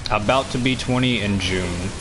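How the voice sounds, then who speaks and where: A nineteen-year-old man with a deep voice, chatting casually while streaming a game, full of fillers and restarts. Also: moderate pace, noisy background. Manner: rambling, many fillers and restarts. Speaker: gaming streamer.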